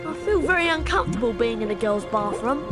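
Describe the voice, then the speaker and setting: A woman playing an excitable young schoolboy wizard in a video game. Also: on the high side, fast talker, over loud music, lightly acted. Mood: uneasy.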